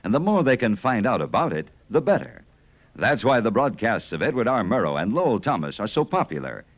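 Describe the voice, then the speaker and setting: An American man reading a scripted 1950s radio network promo in a formal, polished announcer manner. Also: mid-range voice. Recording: dull vintage radio recording.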